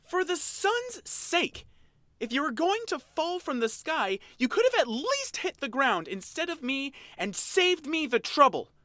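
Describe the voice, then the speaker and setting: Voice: medium pitch, big pitch swings, normal speed, fairly bright tone, dry recording, pitched above the performer's own voice. A reader voicing an angry, boyish male otter creature who snarls and speaks with stiff formality. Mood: furious.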